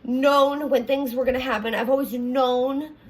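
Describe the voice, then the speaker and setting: A young woman with a raspy voice, talking earnestly in a rambling confessional vlog from her apartment, full of fillers and restarts. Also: high voice, fairly even intonation, measured pace. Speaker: vlogger.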